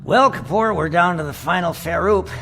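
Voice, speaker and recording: Fretful, scolding male leader, very high and bright, excitable, radio drama with music. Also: moderate pace. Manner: excitable, fussy. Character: Taru, high-voiced fretful leader scolding his small sidekick. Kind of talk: radio play acting.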